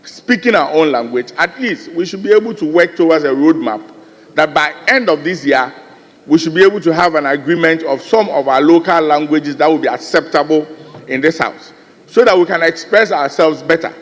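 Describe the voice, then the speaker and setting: A man's voice: a little high, moderate pace, big-room sound. A man with a Ghanaian accent giving an earnest floor speech in parliament, often turning to address the chair. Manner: earnest, rising emphasis, repeated addresses to the chair. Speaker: member of parliament. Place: large parliamentary chamber.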